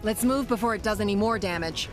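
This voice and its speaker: A woman's voice: mid-range voice, quick pace, close to an everyday voice. A woman playing a serious, practical space warrior in a video game, direct and businesslike. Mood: urgent. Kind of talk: animated video game character acting. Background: loud music.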